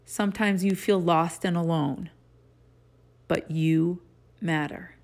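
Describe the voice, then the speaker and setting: A woman's voice: lowish voice, vocal fry, a little mellow. A middle-aged woman giving a children's read-aloud and casual instruction, speaking to young listeners in simple, encouraging phrases. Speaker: teacher reading a picture book aloud to children.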